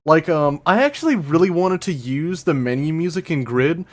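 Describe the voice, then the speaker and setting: A man's voice: on the high side, expressive, normal speed. A man hosting a live stream, chatting casually with frequent restarts and offhand swearing. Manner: stammering restarts, laid-back, swears casually. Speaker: streamer host.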